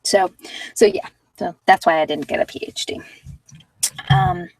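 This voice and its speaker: A woman's voice: medium pitch, huge pitch swings, vocal fry, darkish tone, slightly rough, no echo. Hesitant, reflective woman, slightly distant mic. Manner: hesitant, reflective, rambling with pauses. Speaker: meditation guide and former social worker. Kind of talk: informal unscripted ASMR-channel talk.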